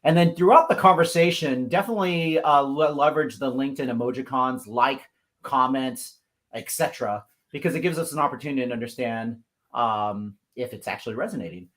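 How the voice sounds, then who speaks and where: A man's voice: mid-range voice, fairly quick, fairly bright tone. A man from the US Pacific Northwest hosting a livestreamed talk show, upbeat and effusive, with many fillers. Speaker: host.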